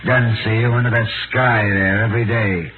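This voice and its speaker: An actor playing a grim, bitter Parisian wine-shop keeper and former servant, terse and brooding, in a 1930s radio drama. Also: male voice, on the low side, fairly even intonation, moderate pace, lightly acted. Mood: bitter, vengeful. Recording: noisy, narrow-band 1930s radio recording.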